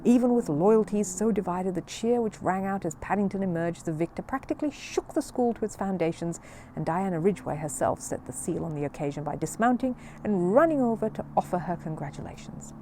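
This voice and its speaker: Woman reader for children's audiobook, very lively intonation, soft dark tone. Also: mid-range voice, a little fast, slight background noise. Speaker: storybook narrator. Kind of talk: expressive children's audiobook narration.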